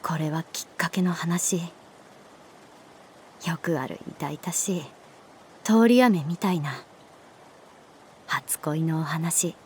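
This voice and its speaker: A woman playing a guarded, sullen middle-school runaway girl in a Japanese audio drama, curt in speech with moody, breathy murmured asides. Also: low-pitched, slow pace, long pauses, darkish tone, slight background noise. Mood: wistful, introspective. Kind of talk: Japanese audio drama acting with introspective narration.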